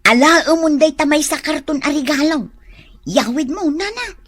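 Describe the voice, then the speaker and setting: A woman's voice: sits high, animated, normal speed, fairly bright tone. A woman playing an envious, competitive housewife in a comedic radio drama, scornful and exclamatory, with an Ilocano accent. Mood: boastful, insistent. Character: envious competitive housewife sneering at her showy neighbour.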